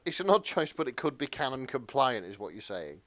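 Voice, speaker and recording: A man's voice: mid-range voice, big pitch swings, fairly quick, a bit bright, no echo. A man co-hosting a podcast in casual chat, a little hesitant and repeating words as he goes. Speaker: podcast co-host.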